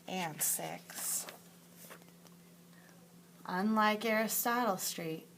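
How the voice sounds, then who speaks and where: A woman teacher reading a picture book aloud to young children in a deliberate, emphatic storytelling way, with asides to her pupils. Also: fairly low pitch, fairly bright tone, slight background noise. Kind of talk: expressive picture-book read-aloud for second graders.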